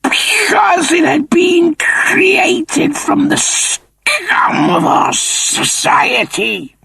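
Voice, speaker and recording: A man voicing a cold, officious chimp-like creature in a strained, creaky falsetto, with slow, pompous delivery in an audiobook. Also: fairly bright tone, no echo, heavily acted, low-quality recording. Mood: grave, strained. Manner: slow, deliberate, pompous pronouncements.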